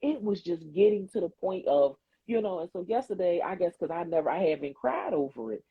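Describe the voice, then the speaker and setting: A woman's voice: lowish voice, brisk, husky, no echo. A woman, a therapist, telling stories in casual podcast chat, swearing freely and acting out what others said. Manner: animated storytelling, swears freely, acts out quotes. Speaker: podcast co-host; therapist and CPR instructor. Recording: tinny phone-like line, dry.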